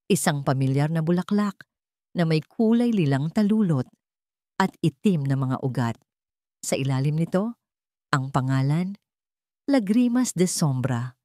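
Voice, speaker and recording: A woman reading a scripted dramatic story in a measured, solemn manner. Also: low-pitched, dark tone, no echo, studio quality. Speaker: story narrator.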